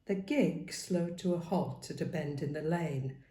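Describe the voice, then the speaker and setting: A woman reading a novel aloud as dramatic, expressive narration. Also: sits low, a little slow. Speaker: story reader. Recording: roomy, echoing sound.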